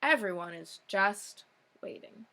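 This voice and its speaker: A woman's voice: medium pitch, animated, slow pace, gravelly, bright, forceful tone. A young woman, a teacher, reading a children's picture book aloud expressively, with short informal asides.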